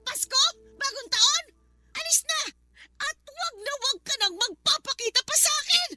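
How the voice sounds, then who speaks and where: A woman's voice: very high-pitched, expressive, normal speed. A woman playing a spiteful middle-aged aunt in a Tagalog radio drama, scolding and blaming with bitter scorn. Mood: scornful. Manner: accusing, scolding, emphatic.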